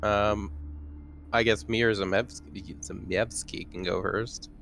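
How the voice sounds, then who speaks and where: A man's voice: on the low side, fairly even intonation, takes it slowly, gravelly, a bit bright. A man playing a tabletop roleplaying game, chatting casually and informally with slangy phrasing.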